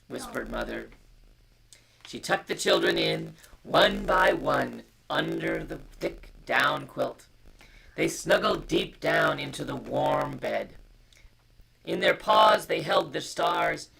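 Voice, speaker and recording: Storytime host, hushed and whispery, wide-swinging pitch, heavy creak, gentle bedtime reading and casual chat over music. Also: poor audio.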